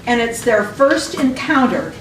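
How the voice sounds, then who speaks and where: A woman's voice: on the high side, very animated, a little slow, slight background noise. A woman giving a scripted introduction as narrator of a stage skit. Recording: roomy, distant live stage recording.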